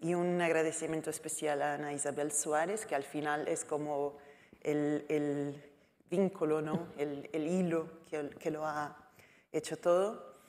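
A woman speaking in her second language with a Portuguese accent, a writer on a panel, halting and searching for words. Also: sits low, fairly even intonation, darkish tone. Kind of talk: panel talk. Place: lecture hall. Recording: long hall reverb.

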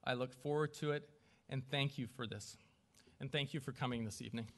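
A man speaking as mayor at a council meeting, running through formal procedure in a halting, self-deprecating way with informal asides. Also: medium pitch, fairly even intonation, noisy background. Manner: halting, self-deprecating, stumbling over names. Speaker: newly elected mayor chairing a council meeting. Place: council chamber with a small audience.

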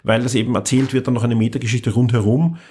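A man chats as a podcast host, keen and animated, often restarting his sentences. His voice is husky with a breathy edge, in a mid pitch. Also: quick pace. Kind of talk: casual chat.

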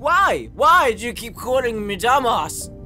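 A man's voice: very high-pitched, big pitch swings, unhurried, bright, forceful tone, soft background music, exaggerated voice. A man playing an insecure, earnest young man in an audio roleplay, whiny and easily flustered. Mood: emotional. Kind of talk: dramatic audio roleplay acting.

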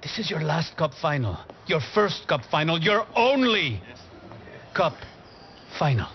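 An intense, regret-worn football coach in his middle years, from a video game cutscene. His dramatic delivery moves widely up and down, with weighty pauses. The sound is slightly dull, with clear noise behind him. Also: a little high, sing-song. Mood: fired up. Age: middle-aged. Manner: dramatic, measured build-up with emphatic pauses. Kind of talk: cinematic game acting, motivational speech.

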